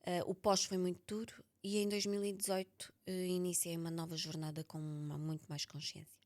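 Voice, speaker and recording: A woman with a European Portuguese accent and a slightly low voice talks in a personal, reflective interview. She is candid and emphatic, repeating key words to drive them home. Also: flat delivery, fairly quick, a little mellow. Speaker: podcast author being interviewed.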